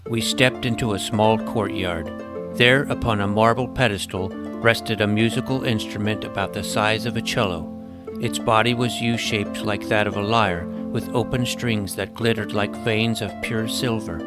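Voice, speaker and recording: A man's voice: lowish voice, steady tone, moderate pace, music in the background, close to an everyday voice. A man playing an earnest, awkward boy hero in an audio drama, casual and boyish as he tells his adventure. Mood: reflective. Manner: casual, boyish, hesitant phrasing. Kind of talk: first-person scripted narration and acted dialogue.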